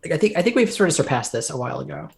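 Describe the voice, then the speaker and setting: A man co-hosting a game development stream, chatting casually with wry, relaxed asides over a video call from a home room. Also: fairly high pitch, very fast, gravelly. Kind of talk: casual chat during a dev stream.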